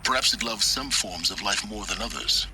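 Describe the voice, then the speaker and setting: A man playing an enigmatic, knowing older mentor in a video game, measured, wry and cryptic. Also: lowish voice, lightly acted. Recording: noisy game ambience behind.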